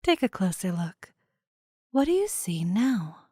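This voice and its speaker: A woman acting a mysterious fantasy storyteller, narrating in a deliberate, portentous way. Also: medium pitch, very animated, very noisy. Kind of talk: dramatic scripted narration.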